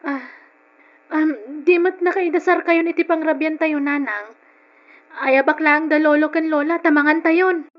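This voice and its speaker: An actress plays a young woman with a very high voice and an Ilocano accent. She is anxious and pleading, in the emotional manner of old radio drama. The sound is dull and lo-fi. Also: steady tone, normal speed, long pauses, natural voice. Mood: hesitant, evasive.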